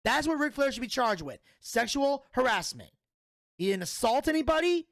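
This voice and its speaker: A man's voice: very high-pitched, sing-song, moderate pace, creaky, fairly bright tone, dry recording, clean studio sound. A man in his thirties hosting a solo podcast, giving a blunt, profane and opinionated review. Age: 30s. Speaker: podcast host.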